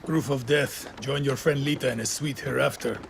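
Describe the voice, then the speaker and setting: An actor playing a wry, rough-talking guerrilla man in a video game. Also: mid-range voice, fairly even intonation, normal speed, a little hiss or hum, close to an everyday voice. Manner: wry, casual. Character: wry, rough-talking male guerrilla veteran, joking, loyal. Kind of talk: cinematic video game acting.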